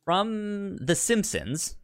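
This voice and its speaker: A man's voice: high voice, very animated, very slow, no room sound, studio quality. A man co-hosting a podcast in a casual, chatty style, often stammering and repeating the starts of words. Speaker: podcast co-host. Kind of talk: casual chat about TV sitcoms.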